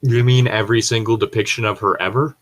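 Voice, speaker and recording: A man's voice: on the low side, fairly even intonation, fast talker, fairly bright tone. A man chatting casually in a rambling way, swearing freely. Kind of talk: casual chat.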